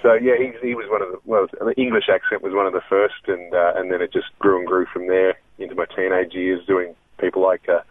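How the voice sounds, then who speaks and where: Deep-voiced Australian man on a phone line. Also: level tone. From Melbourne, Australia. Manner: earnest, halting, many restarts. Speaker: guest comedian and impressionist. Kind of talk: casual interview. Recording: tinny dry phone line.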